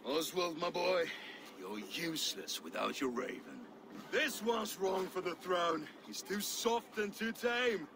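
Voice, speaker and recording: An actor playing a boastful, scornful Danish Viking steward, gravelly and theatrical in his taunts, in a video game cutscene. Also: high-pitched, lively intonation, takes it slowly, heavily acted. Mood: grudging, menacing. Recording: game ambience, clear background noise.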